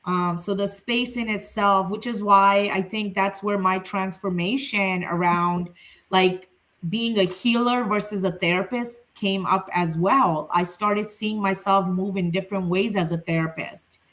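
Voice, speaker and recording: Woman family therapist, Urdu native, candid and reflective, bright clear tone. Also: mid-range voice, steady tone, measured pace. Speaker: family therapist and center founder. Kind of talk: casual panel conversation.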